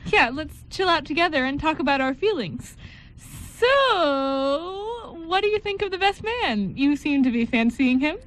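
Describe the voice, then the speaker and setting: Radio play bride female actor: coaxing, reassuring best friend, high and girlish in pitch. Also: expressive, measured pace, fairly bright tone, a little hiss or hum. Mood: concerned. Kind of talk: amateur radio play script reading.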